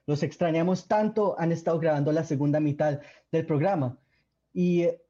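A man's voice: a little high, warm tone, lo-fi sound. Upbeat young man hosting radio. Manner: upbeat, chatty, with fillers. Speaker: radio co-host. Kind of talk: casual radio talk.

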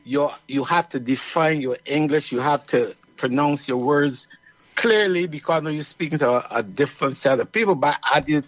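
A man's voice: a little high, normal speed, dry recording. Earnest Barbadian man, muffled dry sound. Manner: earnest, explaining at length. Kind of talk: casual radio chat.

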